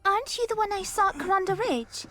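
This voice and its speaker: Very high squeaky little girl, lively intonation, inquisitive, bossy, impatient, fantasy game companion. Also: fast talker. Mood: puzzled.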